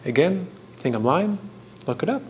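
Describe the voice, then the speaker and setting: A man giving casual, rambling commentary as the host of a hands-on craft video, with a halting delivery that meanders and trails off between thoughts. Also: a little high, big pitch swings, a little hiss or hum. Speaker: host of a painting and fan trivia video.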